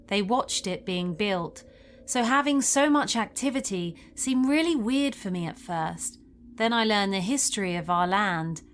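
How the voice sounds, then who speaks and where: A woman reading a scripted first-person paranormal story as a narrator. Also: mid-range voice.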